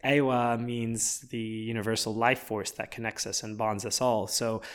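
A man's voice: on the low side, little pitch movement, moderate pace, a bit bright, clear, resonant tone. A man from Lebanon, a podcast guest, talking earnestly in a rambling way with many fillers and restarts. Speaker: podcast guest, festival founder. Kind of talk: casual interview talk.

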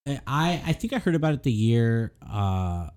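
A man's voice: medium pitch, very animated, normal speed, a little mellow. A tired man co-hosting a podcast, rambling in casual chat and often searching for words. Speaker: podcast co-host.

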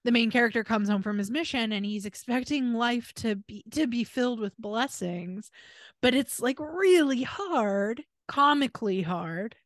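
A woman's voice: fairly high pitch, lively intonation, a little slow, dry recording, studio quality. An American woman co-hosting a podcast in casual chat, telling stories in a chatty, wry way. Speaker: podcast co-host.

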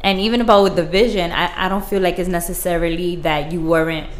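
Woman, podcast co-host, friendly interviewer, slightly low voice, roomy echo, faint hiss. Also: steady tone, fast. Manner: friendly, affirming. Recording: echoey room with faint hiss.

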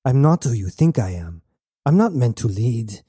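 Man as young male pirate heir, angry, grieving, halting outbursts, audiobook drama, dry sound. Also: medium pitch, sing-song, warm tone, husky, dry recording. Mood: dazed, reluctant.

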